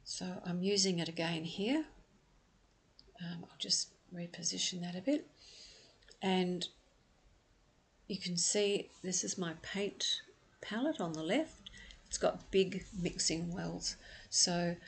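A middle-aged woman from Australia gives a calm, patient step-by-step watercolour painting lesson, with frequent ums. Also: lowish voice, slow pace, vocal fry, pauses often, husky. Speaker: watercolour art teacher. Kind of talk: step-by-step painting demonstration voice-over.

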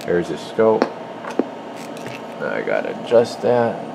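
A low-voiced man talks through a repair job at the bench in a loose, casual way. He thinks aloud in shop slang from behind a handheld camera. A clear hum of room noise sits behind him. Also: huge pitch swings, takes it slowly. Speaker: radio repair technician presenting his work. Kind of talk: casual hands-on demonstration. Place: indoor electronics workshop. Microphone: handheld camera, speaker behind it.

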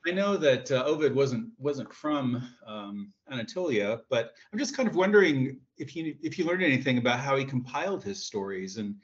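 A man's voice: mid-range voice, big pitch swings, normal speed, hardly any pauses. A man from Tennessee asking a question on a video call with his camera off, hesitant, with frequent uhs and ums. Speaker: audience member asking a question. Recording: dull lo-fi call audio.

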